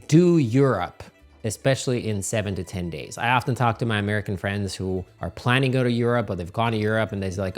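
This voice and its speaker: A middle-aged man, giving casual, animated travel advice as a channel host. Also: on the low side. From Finland.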